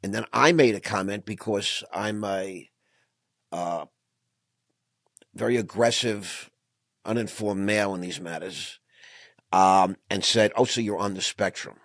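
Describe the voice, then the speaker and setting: A man's voice: on the low side, a little slow, pauses often, a bit bright, dry recording. A man hosting a podcast interview, enthusiastic and effusive, often repeating words as he talks. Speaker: podcast host. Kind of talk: conversational interview.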